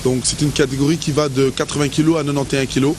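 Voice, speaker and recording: A man's voice: mid-range voice, flat delivery, fast talker. A man in his late twenties, a boxer, answering a field interview hesitantly and restarting his sentences. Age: late 20s. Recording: noisy field recording.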